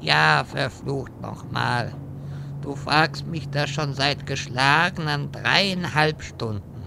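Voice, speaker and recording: A man's voice: medium pitch, slow, vocal fry, noisy background. A man playing an irritable, sardonic wizard who lectures his companions in a German audio drama parody. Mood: exasperated. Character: exasperated, sarcastic male wizard guiding bumbling adventurers.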